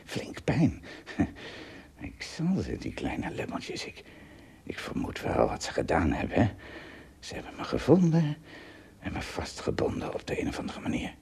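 An actor playing an English castaway adventurer in a radio drama, an earnest, fearful storyteller with a theatrical, halting delivery that moves between hushes and exclamations. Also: male voice, low-pitched, wildly swooping pitch, gravelly, slightly rough, full character voice. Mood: astonished.

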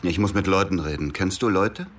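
An actor as a weary, suspicious ex-agent with a very low male voice. He speaks with brooding restraint, as the narrator and lead of a radio drama. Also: fairly quick, a little hiss or hum, close to an everyday voice. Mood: accusing, agitated. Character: exhausted, suspicious former agent telling his story.

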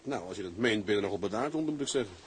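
Vlot pratende, hartelijke zeekapitein, gespeeld door een acteur. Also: male voice, on the low side, animated, rapid-fire, slight background noise, lightly acted. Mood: teasing. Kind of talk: Dutch period drama acting.

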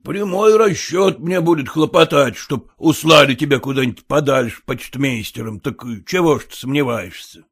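A man's voice: fairly high pitch, moderate pace, few pauses, breathy edge, no room sound, clean studio sound. A man voicing a stern older general who bargains curtly and gives orders. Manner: stern, curt. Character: stern older male general, curt and commanding.

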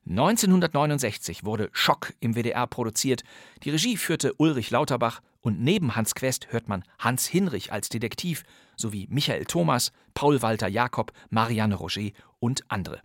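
A male podcast host reading a scripted introduction. His delivery is animated and clearly articulated, with a medium pitch and a close, dry studio sound. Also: normal speed, dry recording. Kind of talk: scripted radio presentation.

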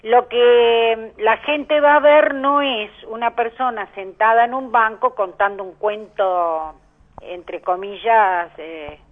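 A woman's voice: fairly high pitch, fairly even intonation, slow. A woman with an Argentine accent, speaking over the telephone as a storyteller being interviewed on the radio. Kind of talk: conversational phone interview. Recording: muffled telephone line on radio.

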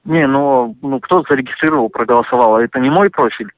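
A man talking over the phone, animated and indignant, full of fillers and restarts. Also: mid-range voice, fast talker, no room sound. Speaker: interviewee on a phone call. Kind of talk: casual phone conversation. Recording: dry tinny phone line.

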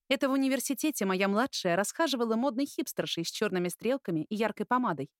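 A woman's voice: mid-range voice, no room sound, clean studio sound. A woman reading scripted first-person fiction as an audiobook narrator.

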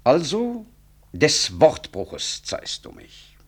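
An actor playing a self-important, middle-aged government minister in a radio drama, speaking formally and rhetorically. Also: male voice, a little high, huge pitch swings, extremely slow, husky, full character voice. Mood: knowing, affronted. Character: self-important male government minister, smooth, self-justifying old friend. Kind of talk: 1960s German radio drama acting.

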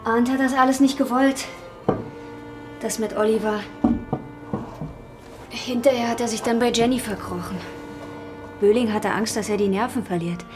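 An actress playing an earnest, gentle young waitress in a radio drama. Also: female voice, fairly high pitch, steady tone, normal speed, long pauses, over music, close to an everyday voice. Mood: gentle, reflective. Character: young waitress, soft-spoken, earnest and kind.

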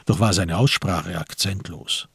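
A man narrating a radio crime drama in a measured, literary, sober way, with a husky voice. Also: low voice, a bit bright, dry recording, heavily acted. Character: sober storyteller of a crime tale.

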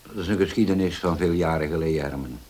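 An actor in a radio play as a grave, troubled widowed father, speaking haltingly and solemnly with long pauses. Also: low-pitched, a little mellow, natural voice. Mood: bitter. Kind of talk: Dutch radio play acting. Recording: hissy old radio play recording.

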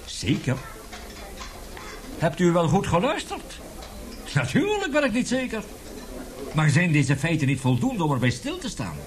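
A man's voice: a little high, wildly swooping pitch, measured pace, long pauses. An actor in a radio drama playing an embittered, passionate male professor who lectures emphatically and indignantly. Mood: indignant, bitter.

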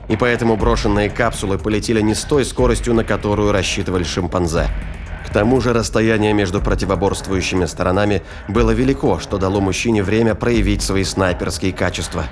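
A man narrating a scripted action story as an audio drama narrator. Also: deep voice. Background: music and sound effects.

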